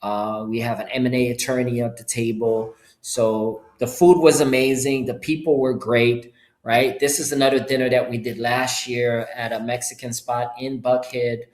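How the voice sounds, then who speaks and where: A middle-aged man from Haiti, a Creole speaker, gives an informal, upbeat motivational webinar pitch in short punchy phrases. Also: little pitch movement, moderate pace, darkish tone. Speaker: entrepreneur hosting an online presentation. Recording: roomy, echoing sound.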